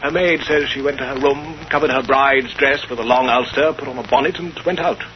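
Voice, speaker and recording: An actor playing a stiff, hesitant English aristocrat in a 1930s radio drama, speaking formally and haltingly. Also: male voice, mid-range voice, steady tone, lightly acted. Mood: stiff, troubled. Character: pompous, hesitant English aristocrat, aggrieved bridegroom. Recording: muffled, noisy 1930s radio recording.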